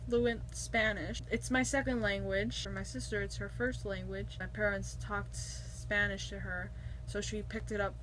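A woman's voice: mid-range voice, level tone, a little slow, a bit bright, a little hiss or hum, poor audio. A young woman from Canada, chatting casually to camera as she recaps a TV episode, rambling and gesturing as she talks, in a small room on a built-in camera mic. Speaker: vlogger reviewing a TV episode. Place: small room indoors, curtained window.

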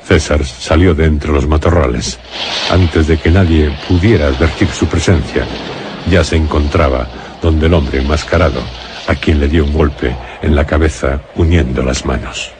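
A man narrating a radio horror drama as a grave storyteller, solemn and deliberate, with a Castilian accent. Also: very deep voice, background noise. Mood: grave suspense. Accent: Castilian Spanish. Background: music.

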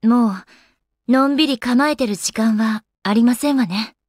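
A woman's voice: fairly high pitch, measured pace, no echo, studio quality. A woman voicing an elegant young goddess in a video game, with polite, ladylike, composed speech. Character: elegant young goddess, refined lady and avid gamer. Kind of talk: Japanese video game character voice acting.

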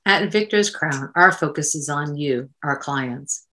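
Low-pitched woman presenting formally. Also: unhurried, pushed and bright, a little hiss or hum. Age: middle-aged. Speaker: host, counsellor. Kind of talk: scripted presentation.

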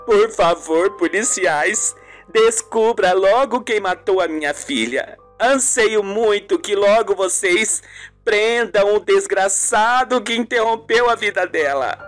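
A man's voice: sits high, slow, fairly bright tone, soft background music. A man voicing a middle-aged mother in grief, tearful and vengeful, in an amateur radio soap opera. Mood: bitter, pleading. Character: grieving, angry middle-aged mother of a murdered actress.

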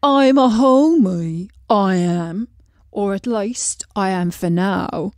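A woman's voice: medium pitch, slow, dry recording, clean studio sound, close to an everyday voice. A woman doing a gloomy, dragging male fish. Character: glum plain male pond fish, gloomy and wry. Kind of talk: storybook reading.

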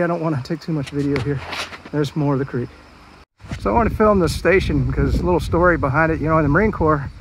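A man's voice: on the high side, slight background noise, rough recording. Rambling man filming a park walk. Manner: rambling, folksy, many fillers and restarts. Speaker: park walk-through vlogger. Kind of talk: casual walking commentary. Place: outdoor city park trail. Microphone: handheld phone camera.

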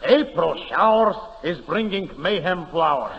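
A brash Russian immigrant in a radio comedy, blustering in thickly accented broken English. The voice is high and gravelly. It echoes on a muffled 1930s recording. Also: male voice, lively intonation, a little slow, slight background noise. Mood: self-important. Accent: mock Russian.

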